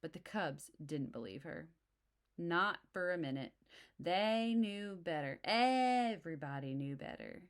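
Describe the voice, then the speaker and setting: A woman's voice: fairly low pitch, expressive, a little slow. A woman reading a storybook aloud expressively for young children, adding simple explanatory asides. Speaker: storybook reader.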